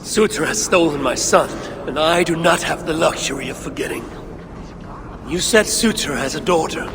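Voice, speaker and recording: Casting: man as commanding Norse deity, fairly high male register, grave and measured, game cutscene with long reverb. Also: lightly acted. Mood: stern, reproachful. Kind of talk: video game cutscene acting. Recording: long hall reverb, clear background noise.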